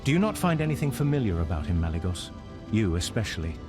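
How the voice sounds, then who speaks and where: A man playing a grave, determined mage in a fantasy game, with formal, measured, earnest phrasing. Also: lowish voice, very animated. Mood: earnest persuasion. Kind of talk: fantasy game cutscene acting. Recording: music behind the voice.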